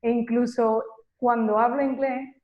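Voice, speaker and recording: Woman host, Andalusian accent, flat even pitch, roomy phone-like sound. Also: unhurried. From Seville, Andalusia, Spain. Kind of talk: conversational interview. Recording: echoey, tinny call audio.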